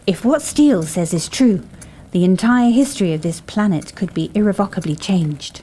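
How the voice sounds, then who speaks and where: A woman's voice: mid-range voice, animated, moderate pace, warm tone, reverberant, lightly acted. A woman playing an intent, uncanny investigator who senses disturbances in time, in an audio drama. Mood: urgent. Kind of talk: fan-made audio drama acting.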